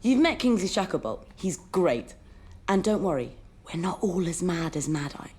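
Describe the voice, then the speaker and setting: An actress playing a cheeky, upbeat young woman who hunts dark wizards in a video game, with a British accent. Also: lowish voice, big pitch swings, moderate pace, a little hiss or hum. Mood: cheerful, teasing. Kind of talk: video game cutscene acting.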